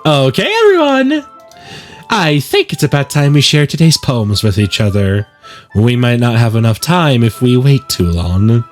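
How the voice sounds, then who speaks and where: A man's voice: mid-range voice, very animated, a little slow, faint music behind. A man voicing an upbeat, encouraging teenage schoolgirl club president in a visual novel, confident and reassuring. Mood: cheerful.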